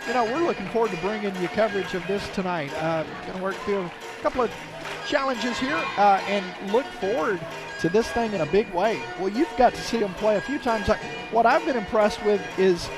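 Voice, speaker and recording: A man giving color commentary at a volleyball match, casual and halting. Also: high voice, music in the background. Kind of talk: sports broadcast commentary.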